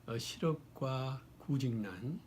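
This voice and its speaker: A man, hoarse from a cold, giving an explanatory talk in a formal, deliberate way with halting phrasing. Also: mid-range voice, expressive, a little mellow, slight background noise. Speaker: lecturer. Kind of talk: explanatory talk on social issues.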